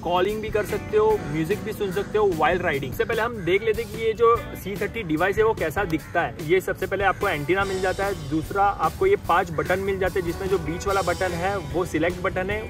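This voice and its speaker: Male Indian-accented motovlogger, higher register, step-by-step how-to delivery over music. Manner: explanatory, mixes English terms into Hindi. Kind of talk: tutorial vlog to camera and voice-over. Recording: music behind the voice. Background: background music.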